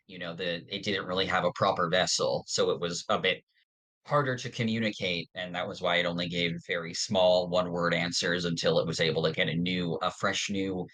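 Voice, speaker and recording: A podcast co-host with a very low-pitched voice that still sounds bright and crisp-edged. The delivery is informal and chatty, like fans talking over a favorite series. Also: rough recording. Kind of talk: casual fan discussion podcast.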